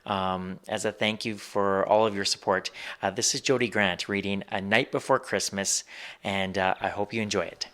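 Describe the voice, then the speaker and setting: A man hosting a podcast with a casual, unscripted introduction, often pausing mid-sentence with ums. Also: fairly low pitch, steady tone, fairly bright tone. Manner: halting, frequent ums and pauses mid-sentence. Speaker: podcast host. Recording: music playing behind voice. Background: music.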